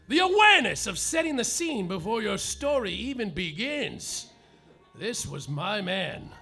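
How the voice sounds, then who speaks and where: Bright, gravelly-voiced man narrating noir comedy. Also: sits high. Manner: hardboiled noir narration, deadpan, with sudden yelled outbursts. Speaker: lead performer in a live comedy play, as the detective. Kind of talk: live staged radio-noir comedy, narrating and acting. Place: live theater stage.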